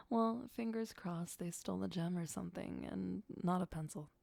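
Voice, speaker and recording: A woman playing a possessive, sweetly menacing vampire girlfriend who is centuries old, in a soft, intimate ASMR roleplay, mostly whispered and close to the microphone. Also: on the low side, normal speed, no room sound. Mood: playful, musing. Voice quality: breathy, soft. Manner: coaxing, darkly playful, obsessive. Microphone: close, dry microphone.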